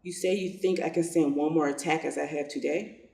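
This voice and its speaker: A man playing a self-interested, lordly old general in a reader's theater piece, pompous and deliberate. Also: fairly high pitch, fairly even intonation, gravelly, a little mellow. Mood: self-assured, calculating. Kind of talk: reader's theater stage reading. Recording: echoey room, distant mic.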